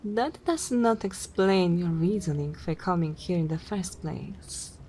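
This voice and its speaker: A woman's voice: on the low side, a little slow, warm tone, slight background noise, close to an everyday voice, poor audio. A woman voicing a composed, teasing and menacing vampire mistress in an ASMR roleplay, with a formal, condescending drawl. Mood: condescending, probing.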